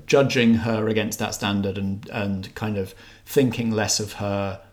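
A man's voice: on the low side, fairly even intonation, measured pace, a little mellow. A man co-hosting a podcast, giving a casual film review in a hesitant way, circling and correcting his phrasing. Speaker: podcast co-host reviewing films.

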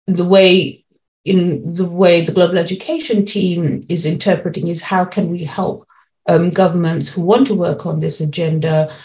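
A woman giving explanatory interview answers as a policy lead, laying out points in measured, formal terms. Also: lowish voice, steady tone, a little mellow, rough recording.

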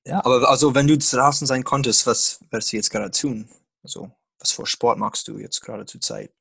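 A man works as an interviewer in a language that is not his first. His voice is husky with a lot of creak, and he phrases things haltingly and casually. The sound is close and dry. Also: medium pitch, fairly bright tone, no room sound. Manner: halting, non-native German with grammar slips.

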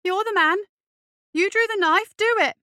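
A woman's voice: extremely high pitch, normal speed, sharp tone, no echo, studio quality, pitched above the performer's own voice. A woman playing a small, reckless urchin boy in a story, indignant and defiant, with trembling street-kid speech.

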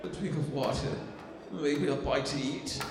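Actor as high-voiced pleading male beggar. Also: unhurried, noisy background. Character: pleading, down-on-his-luck man begging for help.

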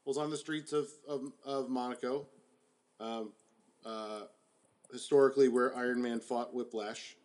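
A man co-hosting a casual sports podcast, tossing out teasing jokes in quick banter. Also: mid-range voice, steady tone, darkish tone. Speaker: podcast co-host.

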